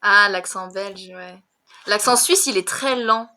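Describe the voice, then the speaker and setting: A young woman teaching conversation over a video call, speaking quickly with frequent giggles and "euh" fillers. Her voice is bright and forward. She sounds relaxed and chatty. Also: medium pitch. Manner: fast-talking, giggly, many fillers. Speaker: French conversation tutor. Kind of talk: casual conversation lesson.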